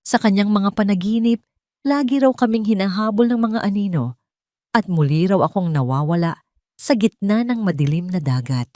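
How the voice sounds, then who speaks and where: A woman's voice: medium pitch, animated, normal speed, darkish tone, no room sound, clean studio sound. A woman reading a first-person dramatic story in a solemn, sentimental storytelling manner. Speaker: audio story narrator.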